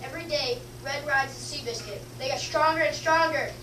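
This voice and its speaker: A young girl reads story narration from a script in a high voice. She sounds distant, in an echoey room with clear background noise. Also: brisk. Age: child or young teenager. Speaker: narrator in a student readers theater. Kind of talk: scripted narration read aloud.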